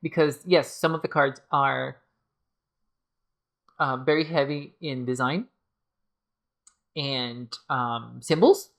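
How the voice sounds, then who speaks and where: A woman's voice: a bass voice, slow pace, vocal fry, long pauses. A woman giving a casual video review, talking hesitantly with many fillers and restarts as she loses her voice. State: losing her voice. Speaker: tarot deck reviewer.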